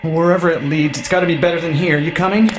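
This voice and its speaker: An actor playing a protective, steady man in a radio horror drama, urgent, earnest and reassuring. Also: a little high, fast talker, close to an everyday voice. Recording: echoey, noisy radio drama with ambience.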